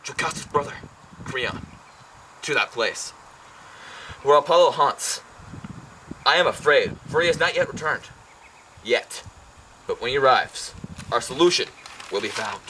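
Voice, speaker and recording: A man's voice: medium pitch, lively intonation, unhurried, long pauses, pushed and bright, slight background noise, poor audio. A man playing a proud, suspicious, commanding king in an amateur Greek tragedy, speaking in formal declamation. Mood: solemn.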